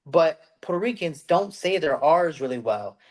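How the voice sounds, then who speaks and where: A man from the United States co-hosting a podcast, telling stories in a rambling, animated way and slipping into put-on voices. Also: fairly high pitch, moderate pace. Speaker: podcast co-host. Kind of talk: casual chat.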